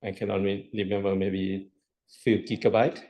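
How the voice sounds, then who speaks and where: A man's voice: fairly low pitch, flat delivery, moderate pace, a little mellow. A man lecturing a university class, explaining step by step with rhetorical questions and repetition. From Thailand.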